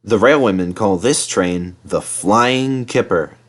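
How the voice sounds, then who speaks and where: A man narrating a children's storybook in a measured, dramatised storytelling manner. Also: lowish voice. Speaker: storybook reader.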